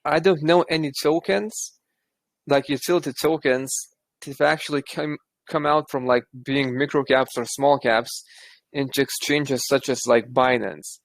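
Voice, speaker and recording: Gravelly-voiced man with a Lithuanian accent. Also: mid-range voice, fairly even intonation, measured pace, dry recording. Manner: thoughtful, restarts often. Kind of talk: casual chat.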